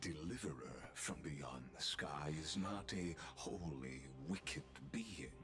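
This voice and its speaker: A very deep, sinister courtly counselor. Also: male voice, expressive, heavily acted. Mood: grave, persuasive. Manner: theatrical, deliberate, silky formal delivery. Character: sinister, courtly male counselor, grandiose and scheming. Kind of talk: dramatic video game voice acting.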